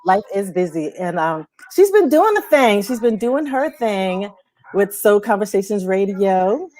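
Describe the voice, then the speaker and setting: A woman's voice: mid-range voice, very animated, measured pace, darkish tone, no echo. A woman hosting a casual music chat show in an informal, chatty manner. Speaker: show host.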